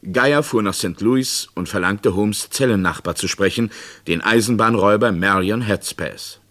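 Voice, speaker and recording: A male narrator with a somewhat low voice reads a true-crime radio play. His delivery is sober and measured, like a documentary report. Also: fairly bright tone.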